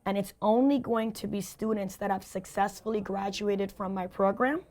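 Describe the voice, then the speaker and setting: A woman with a hoarse voice, a sales and leadership coach answering interview questions in an emphatic, motivational way, often repeating key phrases. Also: medium pitch, fairly even intonation, normal speed, a little mellow.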